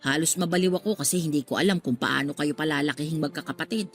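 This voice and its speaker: An actress playing a talkative, motherly middle-aged working-class woman in a radio drama, expressive and given to exclaiming. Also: sits low, quick pace. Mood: regretful, explaining. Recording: music under the voice.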